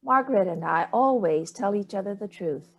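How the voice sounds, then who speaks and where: A woman's voice: medium pitch, expressive, moderate pace. Woman playing a breezy, cutting elderly mother. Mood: breezy, defensive. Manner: dismissive, theatrical, barbed. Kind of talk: staged play reading.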